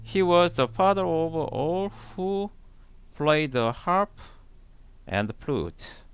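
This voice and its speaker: A man reads scripture aloud extremely slowly, breaking it into short phrases with long pauses. His voice sits a little high, with a lively rise and fall in pitch. The delivery is halting and deliberate. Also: smooth, dry recording. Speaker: Bible reader, channel host. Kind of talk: slow read-aloud of scripture.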